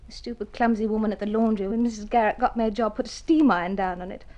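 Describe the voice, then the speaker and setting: An actress playing a devoted, anxious young wife in an old radio drama, with an expressive, earnest delivery. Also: female voice, mid-range voice, quick pace, natural voice. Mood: confessing.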